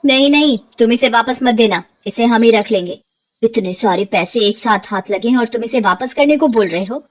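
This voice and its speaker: Woman acting a high-voiced greedy, nagging village wife. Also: normal speed, close to an everyday voice. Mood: scolding. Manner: scolding, demanding.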